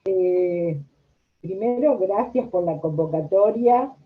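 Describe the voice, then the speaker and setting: A woman's voice: medium pitch, lively intonation, slow pace. An older woman with a Rioplatense Argentine accent, reminiscing conversationally as she is interviewed. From La Plata, Argentina. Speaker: interviewed social worker. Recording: tinny, narrow phone-like audio.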